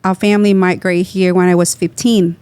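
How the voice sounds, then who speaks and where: A woman's voice: on the low side, flat delivery, a little fast, a little mellow, clean tone, no room sound. Earnest woman from Vietnam, dry close sound. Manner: explanatory, earnest, drops small grammar words. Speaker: guest dentist. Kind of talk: podcast interview.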